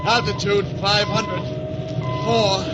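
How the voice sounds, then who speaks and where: A man's voice: high voice, level tone, slow, loud music behind the voice, rough recording. A man playing an alert, dutiful spaceship crewman in a 1950s radio drama, calling out formal military announcements. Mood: urgent, formal.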